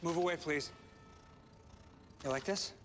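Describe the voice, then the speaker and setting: A man playing a calm, menacing government agent in a video game cutscene. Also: fairly high pitch, unhurried. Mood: quiet menace. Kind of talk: deadpan film dialogue in a game cutscene. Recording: loud noise and clicks behind.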